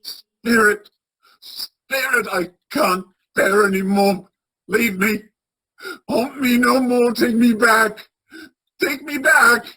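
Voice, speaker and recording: Woman acting a husky, shaken old male miser. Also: on the high side, slow, bright, forceful tone, full character voice, low-quality recording. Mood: anguished, pleading. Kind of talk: radio play acting.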